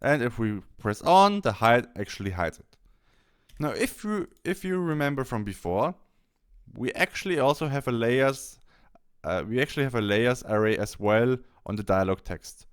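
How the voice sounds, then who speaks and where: A man gives an instructional software walkthrough in a smooth, clean voice. His medium-pitched delivery rises and falls in a lively way as he explains each step, sometimes haltingly. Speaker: software tutorial presenter. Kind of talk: instructional screen-capture walkthrough.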